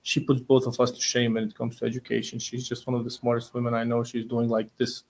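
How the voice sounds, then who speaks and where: A man's voice: medium pitch, completely flat delivery, fast talker, darkish tone. A man, hosting a chatty, informal interview. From Bosnia. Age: 30s. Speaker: interview host. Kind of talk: casual conversational interview.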